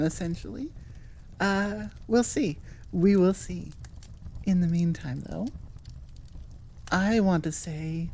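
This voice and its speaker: A woman's voice: on the low side, warm tone. A woman hosting her audio roleplay channel, chatting to listeners in an informal, rambling, candid way. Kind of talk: casual chat to listeners.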